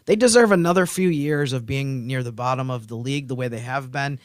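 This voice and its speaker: Male co-host, measured and reflective, dry studio voice. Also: mid-range voice, no echo. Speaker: team writer and co-host. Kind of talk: casual sports podcast chat.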